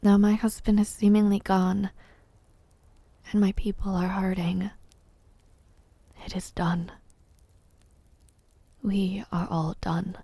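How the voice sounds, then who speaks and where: Slow, mellow, wistful royal mother, acted by a woman. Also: mid-range voice, flat delivery, husky, dry recording. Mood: wistful, resigned. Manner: measured, soft-spoken, often dropping to a whisper. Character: wistful royal mother, missing husband, gently teasing a captive enemy. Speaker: voice actor in audio roleplay. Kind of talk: intimate audio roleplay acting.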